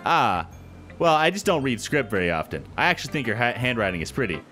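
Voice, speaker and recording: Animated man doing let's-play commentary. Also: mid-range voice, quick pace. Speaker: gaming video host. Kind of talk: casual let's-play commentary and dialogue reading. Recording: game music under the voice. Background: game music.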